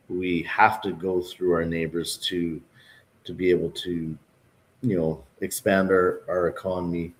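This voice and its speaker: Low-voiced Albertan man talking politics. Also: slight background noise, poor audio. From Calgary and Vancouver. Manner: earnest, with many restarts and "you know". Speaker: livestream co-host. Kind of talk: casual political discussion.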